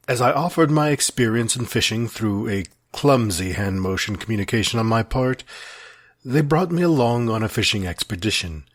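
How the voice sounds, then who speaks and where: A man acting an earnest, lovelorn gentleman of old New York, reading his formal letter in a solemn, wistful, measured way with a husky voice. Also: mid-range voice, no echo, clean studio sound.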